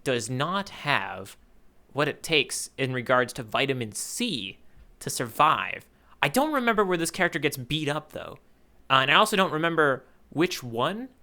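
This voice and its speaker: Bright, clear-voiced man thinking aloud. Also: fairly high pitch, expressive, normal speed. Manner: thinks aloud, wavering between guesses. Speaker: podcast co-host, contestant in a guessing game. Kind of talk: casual chat, playful quiz banter.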